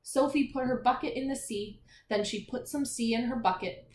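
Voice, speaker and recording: A woman's voice: on the high side, normal speed, darkish tone. A woman teacher reading a children's picture book aloud, expressively, with encouraging asides and questions to young listeners. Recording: echoey room with faint noise.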